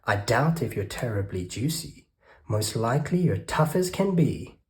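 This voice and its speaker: A storyteller voicing a greedy, bullying male highway rat who bellows and boasts in a children's storybook. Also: very animated, moderate pace, a little mellow, close to an everyday voice. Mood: menacing. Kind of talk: storybook read-aloud.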